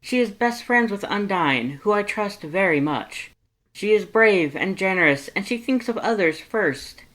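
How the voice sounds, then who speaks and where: A reader voicing an exuberant, kind-hearted male skeleton in a fan fiction story, loud and emphatic with formal wording. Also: lively intonation, a little slow. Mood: earnest, enthusiastic. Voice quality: loud, booming. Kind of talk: animated fan fiction character reading.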